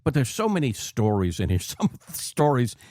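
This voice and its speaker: A man hosting an interview in casual conversation, often halting and repeating his phrases as he builds a question. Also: medium pitch, big pitch swings, a little mellow, dry recording, clean studio sound. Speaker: interview show host.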